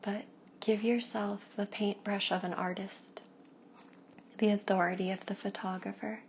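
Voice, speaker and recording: A woman leading a guided meditation in a hushed, soothing way, with long pauses and soft, breathy phrase endings. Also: medium pitch, level tone. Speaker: meditation guide and Reiki practitioner. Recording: dull lo-fi with faint background noise.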